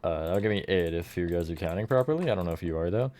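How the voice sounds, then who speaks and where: Man, live streamer, casual chat, slightly low voice, relaxed, talks to viewers. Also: fast. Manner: relaxed, chatty, answers chat, counts blocks aloud.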